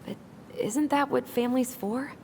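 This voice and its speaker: An actress playing a gentle young woman in a video game, a sister who speaks earnestly and tries to keep the peace. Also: sits high, normal speed, vocal fry, slight background noise. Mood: conciliatory. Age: young adult. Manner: conciliatory, earnest. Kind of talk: naturalistic video game acting.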